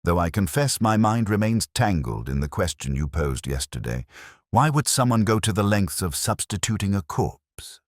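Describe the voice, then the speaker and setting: A man's voice: low-pitched, sing-song, moderate pace, no echo, studio quality, pitched above the performer's own voice. A man voicing a loyal, questioning Victorian detective's companion in an audiobook, earnest and inquiring. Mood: puzzled, earnest.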